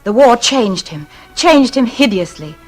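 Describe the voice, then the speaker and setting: A woman playing an anguished, refined English wife in 1940s radio drama style, speaking earnestly and haltingly. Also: on the high side, lively intonation. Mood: wistful, troubled. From England. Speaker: lead actress. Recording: old radio recording with music.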